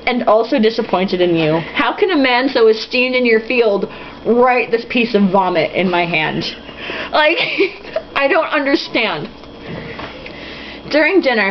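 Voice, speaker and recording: A woman reading a novel aloud in a casual, comic way, with sarcastic, foul-mouthed asides. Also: on the high side. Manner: tipsy drinking-game reader. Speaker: host reading a novel aloud with mocking commentary. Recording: dull lo-fi with faint hiss.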